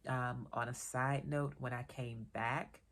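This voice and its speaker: A woman vlogger in casual solo chat, talking in a relaxed, chatty, wandering way, a little tired and congested from a cold. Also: very deep voice, slow pace. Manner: chatty, relaxed, digressive with small laughs. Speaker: vlogger and author.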